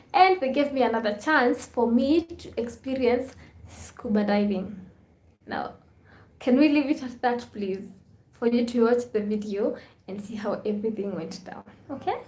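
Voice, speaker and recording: Woman, live stream host, casual and upbeat, reads out comments, slightly high voice, echoey room. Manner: chatty and upbeat, thanks viewers often. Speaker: vlogger hosting a live stream. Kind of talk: casual live chat reading viewer comments.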